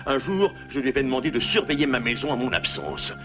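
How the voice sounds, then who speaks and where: A man's voice: mid-range voice, big pitch swings, fast talker, over music, lightly acted. A man voicing a sensitive, self-pitying loner animal in a French cartoon, with a plaintive, formal and dramatic delivery. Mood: bitter, mournful. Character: sensitive, self-pitying male loner animal, once wronged, gruffly kind. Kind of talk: French cartoon dub acting.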